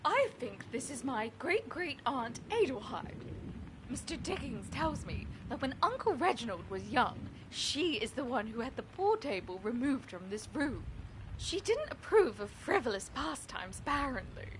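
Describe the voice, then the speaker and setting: A woman's voice: sits high, sing-song, moderate pace, background noise. A woman voicing a chatty, gossipy, teasing lady in a video game. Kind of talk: video game character acting.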